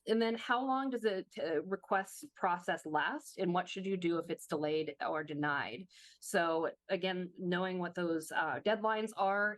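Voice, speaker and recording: A woman with a fairly low voice, slightly hoarse, walks through slides in a workshop presentation. She explains each step carefully, with many fillers and restarts. The sound is dry and close, as on a video call. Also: no echo. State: slightly hoarse, losing her voice.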